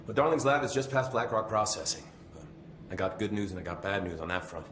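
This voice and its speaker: A man's voice: lowish voice, lively intonation, quick pace, fairly bright tone, echoey room, a little hiss or hum. An actor playing a weary, sardonic man who jokes dryly about disaster in a video game. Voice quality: dry sardonic humor, swearing. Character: wry, weary man, sardonic office survivor.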